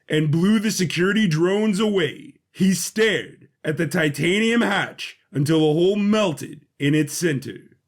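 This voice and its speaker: A man reading scripted dramatic narration for a science fiction audio drama. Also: a little high.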